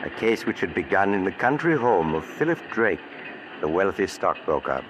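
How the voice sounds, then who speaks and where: A man voicing a sinister, calm narrator in a 1940s radio drama. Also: lo-fi sound, noisy background. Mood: ominous. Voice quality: low, measured, ominous delivery. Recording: old radio broadcast with music behind.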